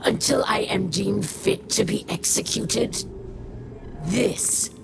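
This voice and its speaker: An actress playing a proud, bitter, defeated female prisoner in a video game, speaking deliberately. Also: medium pitch, gravelly. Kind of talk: video game character dialogue. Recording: loud noise behind. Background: noisy ambience.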